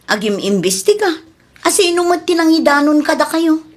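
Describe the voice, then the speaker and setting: A woman's voice: high-pitched, big pitch swings, moderate pace. A woman playing a stingy, complaining daughter-in-law in an Ilocano comedy drama, with exaggerated exclamations and an Ilocano accent. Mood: indignant.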